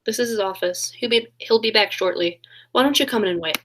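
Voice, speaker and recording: Radio-play assistant , female actor: young woman, medium pitch, helpful, concerned; faint background noise. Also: a little fast, fairly bright tone, natural voice. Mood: polite, concerned. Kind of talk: 1940s radio play acting.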